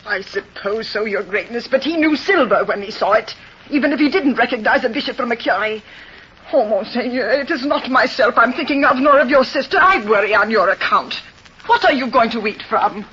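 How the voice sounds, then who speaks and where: An actress playing a fretful, flustered and devoted old housekeeper in an old-time radio drama. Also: female voice, fairly high pitch, animated, fairly quick, fairly bright tone. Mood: grumbling. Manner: flustered, deferential, complaining. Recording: dull, noisy old radio recording.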